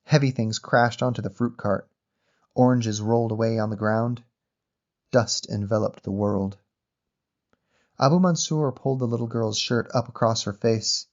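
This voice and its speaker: A man narrates a literary short story at a medium pitch. His delivery is measured and descriptive, clearly read from a script. The recording sounds slightly dull.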